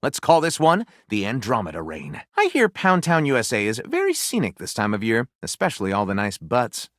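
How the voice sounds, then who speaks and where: A man's voice: mid-range voice, wildly swooping pitch, fairly quick, fairly bright tone, no room sound, studio quality. A man voicing a grandiose, lewd, self-satisfied storyteller character in a video game, with wry, sarcastic asides. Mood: playfully pompous, then delighted.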